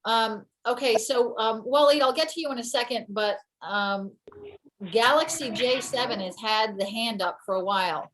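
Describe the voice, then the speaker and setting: A woman teaching an online class, brisk and directive as she runs the call. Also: fairly high pitch, fairly bright tone, rough recording. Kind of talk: casual teaching over video call.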